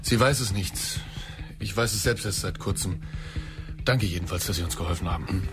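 An actor playing a serious, probing police inspector in a radio crime drama. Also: male voice, deep voice, normal speed, over music, natural voice. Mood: grave. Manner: questioning, serious.

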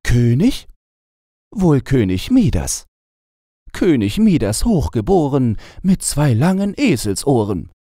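A man's voice: medium pitch, very animated, slow, warm tone, no echo, studio quality, voice pushed up, exaggerated voice. A man voicing a teasing, scolding elderly princess aunt in a mock-stern, mocking way.

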